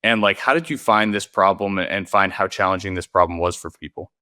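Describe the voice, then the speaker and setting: A man's voice: low-pitched, steady tone, brisk, pushed and bright. A man hosting a podcast, upbeat and friendly, with some fillers as he sets up questions. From Newton, Massachusetts. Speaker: podcast host. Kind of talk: podcast interview.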